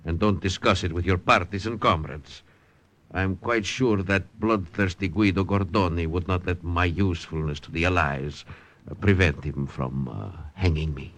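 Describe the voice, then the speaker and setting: An actor playing an old, shrewd Italian scientist in an old radio drama, formal and measured, with an Italian accent. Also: male voice, low voice, fairly bright tone, a little hiss or hum. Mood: cautioning. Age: older. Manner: measured, formal, politely insistent. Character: old, tired Italian scientist, shrewd, calm, calculating bargainer. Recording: vintage radio drama recording.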